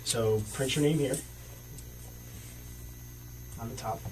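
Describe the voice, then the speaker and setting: A man's voice: medium pitch, slow pace. A male police detective asking procedural interview questions in a methodical, hesitant way. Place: indoor police interview room. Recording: noisy distant interrogation room recording.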